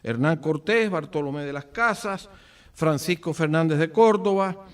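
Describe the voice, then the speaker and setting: A Cuban man with a Cuban accent giving a formal commemorative speech, solemn and rhetorical, with deliberate phrasing and dramatic pauses. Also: on the high side, clear, resonant tone. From Cuba. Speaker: speaker at a book presentation. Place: event at an office venue. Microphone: far from the speaker. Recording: distant microphone.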